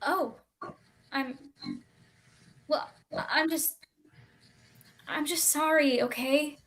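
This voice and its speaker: A woman performs a child in a staged play reading. The voice sits high, and the kid is restless and defiant, badly needing a playmate. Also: very slow, a bit bright, exaggerated voice. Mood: sheepish, apologetic.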